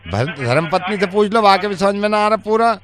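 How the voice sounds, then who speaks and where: A man's voice: high voice, animated, quick pace, a crowd in the background, voice pushed up. A confused rustic grandfather. He rambles and grumbles, going round the same complaint again and again in a prank call. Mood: confused, complaining. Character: rustic elderly man, confused, grumbling about his mobile.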